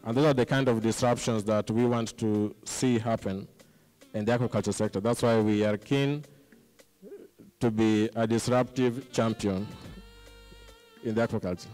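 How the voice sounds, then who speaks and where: A man's voice: fairly low pitch, fairly even intonation, normal speed, darkish tone, faint music behind. A middle-aged man speaking as a panelist on a conference stage into a handheld microphone. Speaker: panelist, social entrepreneur. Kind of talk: panel discussion remarks. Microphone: handheld microphone close to mouth. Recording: reverberant hall sound.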